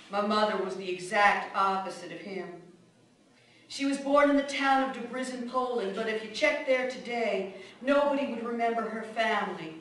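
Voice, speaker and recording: A woman's voice: mid-range voice, a bit bright, slight background noise, lightly acted. A woman playing a blunt immigrant mother, echoey. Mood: reminiscing, tender. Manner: plainspoken, colloquial storytelling with curt commands. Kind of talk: radio drama monologue. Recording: echoey room, distant microphone.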